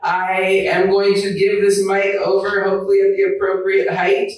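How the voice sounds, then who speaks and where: A man's voice: high-pitched, monotone, moderate pace. A man hosting an open mic, upbeat and brief as he introduces the next comedian. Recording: very echoey room from a distance.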